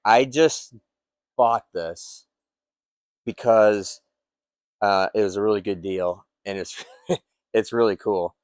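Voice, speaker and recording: A man's voice: on the low side, slow, long pauses, clean tone, dry recording, clean studio sound. A man in his fifties, an engine builder in his workshop, walking through a project car in a rambling, enthusiastic way with lots of fillers and restarts. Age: 50s. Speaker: engine builder and shop owner. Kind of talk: casual walkaround of a project car.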